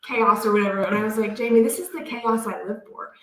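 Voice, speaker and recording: A woman, co-hosting a casual homestead livestream and telling excitable stories. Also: fairly high pitch, fast talker, crowd noise. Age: 30s. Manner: chatty, excitable, storytelling. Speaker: livestream co-host. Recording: roomy, from a distance off the mic.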